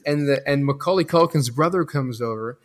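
A man in his thirties, from Belgium and a native French speaker, talks in a relaxed, reflective way. His sentences wander and restart as he thinks aloud on a podcast. His voice sits at an ordinary middle pitch. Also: brisk. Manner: reflective, rambling, many restarts. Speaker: guest. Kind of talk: casual podcast conversation.

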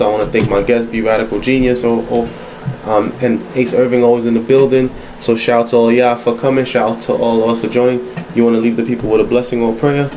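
A man hosting a casual podcast, asking questions and giving closing words in a steady manner. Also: mid-range voice, a little hiss or hum, low-quality recording. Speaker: podcast host.

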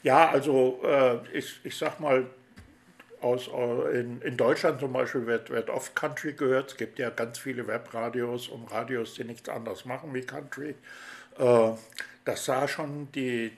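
A man's voice: mid-range voice, steady tone, measured pace, gravelly. A man hosting an interview, asking rambling, friendly questions full of fillers. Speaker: interview host. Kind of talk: chatty radio-style interview.

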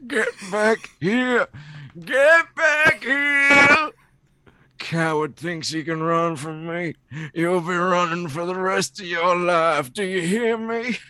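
A man voicing an angry old supervillain, ranting and menacing in a breathy, straining, out-of-breath voice in a comic-book reading. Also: sits high, slow, sharp tone, no room sound, full character voice. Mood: vengeful rage.

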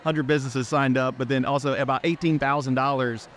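A man hosting a podcast at a live event, upbeat and quick with restarts. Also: medium pitch, steady tone, a bit bright, slight background noise. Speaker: podcast host. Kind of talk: casual live interview. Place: outdoor event fair.

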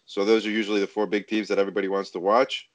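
Male sports co-host, low pitch, dry close sound, casual, hesitant, measured. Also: little pitch movement, no echo.

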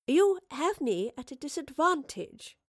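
A woman's voice: a little high, big pitch swings, measured pace, dry recording, studio quality. A woman voicing a bold, witty female professor and adventurer with an arch, genteel, commanding manner in an audio drama. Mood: defiant, demanding.

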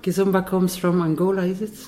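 A woman's voice: on the low side, flat delivery, brisk, roomy sound, crowd noise. A woman interviewing guests, encouraging them with frequent "yeah"s and short follow-up questions. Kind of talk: conversational interview.

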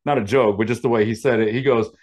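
A man's voice: mid-range voice, fairly even intonation, quick pace. A man co-hosting a podcast in a casual, rambling, jokey and profane storytelling style, with a powerful voice. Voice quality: loud, powerful voice. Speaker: podcast co-host. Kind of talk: casual comedic chat, telling workplace stories.

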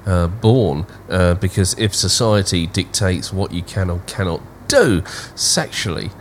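A man with a deep voice talks casually as a podcast co-host, reasoning through ideas in open discussion. A faint layer of background noise sits under the recording. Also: animated, unhurried.

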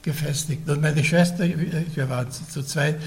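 A man in his nineties, Russian-speaking from childhood and raised in Vienna, telling his life story haltingly, with frequent pauses, restarts and throat clearing. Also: on the high side, fairly even intonation. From Vienna, Austria. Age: older. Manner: hesitant, many fillers and restarts. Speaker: interviewee recounting his life. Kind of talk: oral history recollection.

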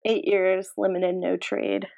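A woman's voice: fairly low pitch, level tone, takes it slowly, gravelly, a little mellow, no room sound. Woman co-host in a dry, close recording. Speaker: podcast co-host. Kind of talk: casual sports podcast chat.

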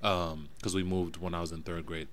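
Podcast host, young man of West African family from New Jersey, slightly low, bright and forceful voice, thoughtful, halting. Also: flat delivery, slight background noise. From West African family, raised in New Jersey. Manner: reflective, thinks aloud with restarts. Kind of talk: casual conversation.

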